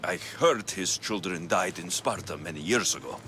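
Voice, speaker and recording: Low, brooding Greek mercenary. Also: male voice, expressive, moderate pace, sharp tone, a little hiss or hum, full character voice. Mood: hushed, tense. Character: brooding male Greek mercenary, son of a Spartan warrior. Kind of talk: dramatic video game acting.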